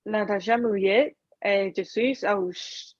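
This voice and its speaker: A woman learning French as a beginner, answering slowly and hesitantly on a video call. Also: mid-range voice, steady tone, no echo, highs cut off. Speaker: beginner student.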